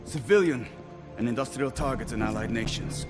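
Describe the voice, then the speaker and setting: An actor playing a weary but resolute Egyptian army lieutenant, giving formal, measured briefings in a video game. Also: male voice, mid-range voice, over music, natural voice. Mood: formal, grim. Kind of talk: video game cutscene acting.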